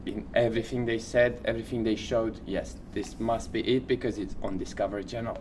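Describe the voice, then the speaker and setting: A man's voice: on the low side, fairly even intonation, brisk, darkish tone, slight background noise, rough recording. A man co-hosting a podcast, chatting casually and making it up as he goes. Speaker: podcast co-host. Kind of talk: casual improvised podcast chat.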